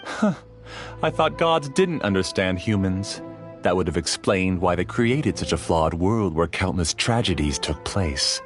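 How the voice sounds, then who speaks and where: A man's voice: low-pitched, lively intonation, a little slow, soft background music. A man voicing a scornful, theatrical video game villain who talks in a condescending, grandiose way. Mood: smug, disdainful. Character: scornful, theatrical male villain, obsessive master of a dream.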